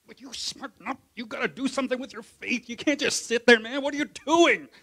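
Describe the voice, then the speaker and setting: A man voicing a stern male apostle who loudly scolds lazy believers. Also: extremely high pitch, brisk, pitched above the performer's own voice, heavily acted. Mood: scolding. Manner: loud, shouting rebukes.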